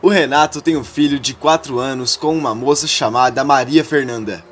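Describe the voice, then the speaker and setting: A man's voice: mid-range voice, fairly even intonation, normal speed, a bit bright, soft background music, lightly acted. A man voicing a smug young schemer who exposes a secret in a retold soap-opera story.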